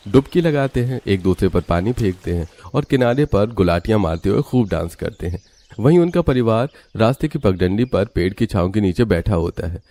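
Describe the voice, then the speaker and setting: Bright-voiced male story narrator, dark edges absent. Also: fairly low pitch.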